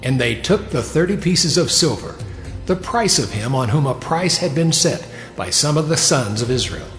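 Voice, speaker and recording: Casting: solemn prophet, biblical drama. Expressive medium voice in echoing reverb. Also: male voice, medium pitch, moderate pace. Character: ancient prophet, solemnly proclaiming. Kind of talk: dramatized scripture acting. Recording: long echoing reverb, soft music.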